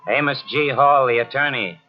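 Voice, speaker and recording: A man's voice: mid-range voice, normal speed. An American man narrating and announcing a scripted 1940s radio mystery drama. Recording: dull old radio recording from cassette. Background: faint music.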